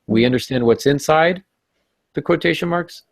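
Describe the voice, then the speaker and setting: A man's voice: fairly high pitch, moderate pace, gravelly, dry recording, studio quality. A man hosting a podcast, explaining things in a conversational way with some casual banter. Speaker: podcast host.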